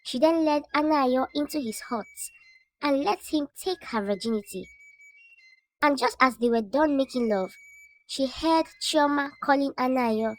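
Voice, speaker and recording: A woman storyteller narrates a scripted folktale. Her voice is high in pitch. She delivers it in a measured, dramatic manner. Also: a little hiss or hum, rough recording.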